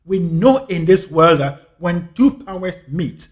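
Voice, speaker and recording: A man with a Ghanaian English accent speaks in a fairly high voice. He talks in an earnest, reflective monologue and circles back to repeat his key phrases for emphasis. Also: animated, unhurried. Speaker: speaker on life and scripture.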